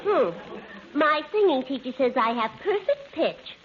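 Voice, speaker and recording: An actress playing a brash, vain young would-be singer with a New York accent, in 1940s radio comedy style. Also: female voice, high-pitched, very animated, a little slow, a loud crowd. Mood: indignant. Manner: brash, self-satisfied. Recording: dull vintage radio, faint audience, hiss.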